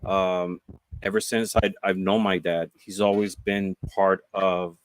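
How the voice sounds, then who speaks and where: A man's voice: deep voice, flat delivery, measured pace, clear, resonant tone. A man, a guest telling his story in a casual interview, earnest and full of fillers and restarts. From Puerto Rico.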